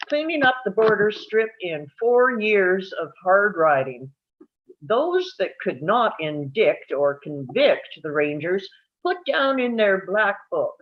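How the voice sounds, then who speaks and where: A woman reading scripted narration aloud at a live table read, telling it like a story and now and then stumbling over a word. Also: medium pitch. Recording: dull, lo-fi sound.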